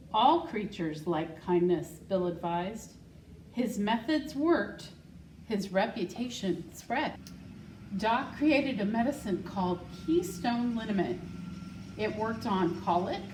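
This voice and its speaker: A woman reading a children's picture book aloud with measured, deliberate phrasing. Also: medium pitch, darkish tone. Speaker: school secretary, guest storybook reader. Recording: roomy, distant mic, faint noise.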